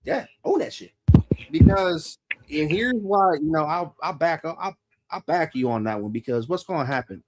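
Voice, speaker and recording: A man's voice: a little high, expressive, moderate pace, heavy background noise. A man in his thirties hosting a podcast, talking loosely and profanely and laughing often. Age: 30s. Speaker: podcast host. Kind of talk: casual podcast chat.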